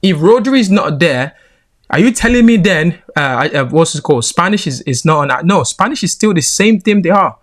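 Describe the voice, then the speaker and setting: A man's voice: on the high side, animated, moderate pace. A man with a Nigerian accent giving heated football reaction commentary, indignant and emphatic. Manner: indignant, rising into emphatic rants.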